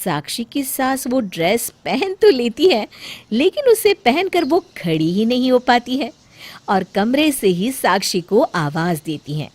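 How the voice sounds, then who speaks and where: A woman storyteller reading a scripted tale in an expressive narrating style. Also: fairly high pitch, unhurried, no echo.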